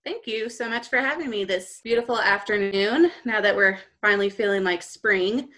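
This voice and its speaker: A woman's voice: mid-range voice, moderate pace, fairly bright tone. A woman from Texas with a Texan accent, chatting as a podcast guest with easy laughs. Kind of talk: conversational interview.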